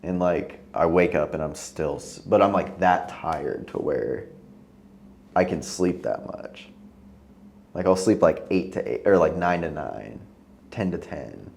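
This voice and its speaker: A man's voice: deep voice, animated, measured pace, creaky, a little mellow, slight background noise. A man of thirty-one talking candidly on a podcast, tired and losing his voice, swearing casually. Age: 30s. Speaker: podcast host.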